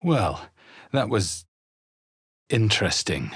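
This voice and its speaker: A man voicing a wary, wry adventurer hero in a video game, with a husky voice and terse, theatrical delivery. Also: lowish voice, big pitch swings, slow pace, a bit bright, no room sound, clean studio sound, heavily acted. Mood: watchful. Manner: terse, theatrical, emphatic on incantations. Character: young-adult male adventurer hero, alert, wry, chanting spells. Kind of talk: video game character barks and spell incantations.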